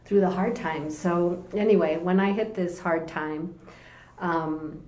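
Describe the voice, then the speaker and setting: A woman in her sixties tells her story in a gentle, thoughtful way, with a fairly low, warm voice. She laughs easily. The recording has an echoey room sound with faint background noise. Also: steady tone, slow. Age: 60s. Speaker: interview guest. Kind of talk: personal testimony in conversation.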